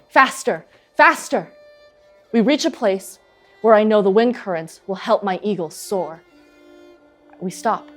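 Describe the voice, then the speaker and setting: An actress playing a young, proud eagle huntress in an earnest, intense dramatic monologue. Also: female voice, lowish voice, natural voice. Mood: hushed, tender. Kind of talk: dramatic stage monologue, vivid storytelling.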